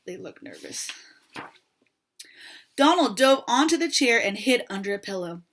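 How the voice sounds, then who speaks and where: High female voice, children's storyteller, expressive read-aloud, asks listeners questions. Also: a little slow, slight background noise.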